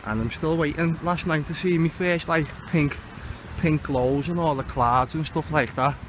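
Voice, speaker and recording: A man's voice: a little high, normal speed. A man giving casual, rambling outdoor commentary, often repeating his phrases. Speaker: vlogger. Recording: noisy outdoor recording with traffic ambience.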